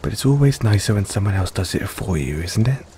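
Rain patters faintly behind a mellow, fairly low voice. It is a man playing a doting middle-aged father and boyfriend in an audio roleplay. He teases fondly and takes his time, as if talking closely to one listener. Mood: caring, teasing. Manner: playful, fond, chuckling between unhurried phrases. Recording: steady rain noise in background. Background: rain falling.

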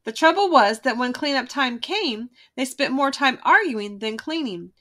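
A woman with a bright, fairly high voice reads a children's storybook. Her delivery is expressive and emphatic, with very lively pitch. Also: moderate pace. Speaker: read-aloud narrator.

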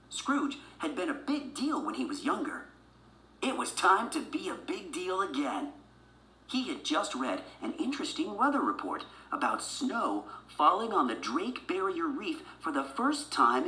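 A woman narrates a children's story in a low, lively voice. It sounds like a scripted read-along, with music behind her. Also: echoey room, poor audio.

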